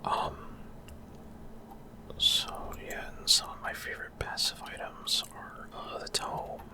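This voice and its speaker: Whispering man doing ASMR game commentary. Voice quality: unvoiced whisper. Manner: casual, halting, explanatory. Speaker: gaming video host.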